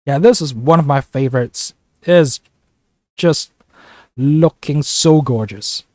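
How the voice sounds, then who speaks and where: Upbeat man with a flu-rough voice. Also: on the high side, takes it slowly, darkish tone, no echo, studio quality. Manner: upbeat, chatty, jokey. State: congested from a flu. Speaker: gaming YouTuber. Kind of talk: casual gameplay commentary.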